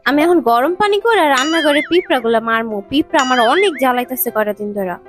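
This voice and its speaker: An exasperated housewife mother in a cartoon , voiced by a performer. Her voice is high and quick, nagging and brusque, with children's music underneath. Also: animated, fairly bright tone. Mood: irritated, determined. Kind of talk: cartoon voice acting.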